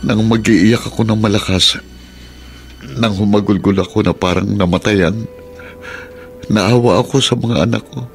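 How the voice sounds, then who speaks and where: Gravelly, heartbroken Filipino father. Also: fairly low pitch, steady tone, a little slow, long pauses. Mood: pained. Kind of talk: Filipino radio drama acting. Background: background music.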